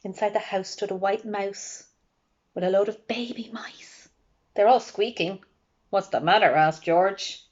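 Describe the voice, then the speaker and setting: A woman reading a picture book aloud to young children in an animated way, prompting them with questions. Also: medium pitch, measured pace, lo-fi sound.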